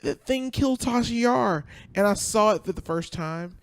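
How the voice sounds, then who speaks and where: A man's voice: high-pitched, lively intonation, normal speed, clean tone, no room sound. A man talking casually as a podcast guest, emphatic and repeating phrases for stress. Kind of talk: casual chat.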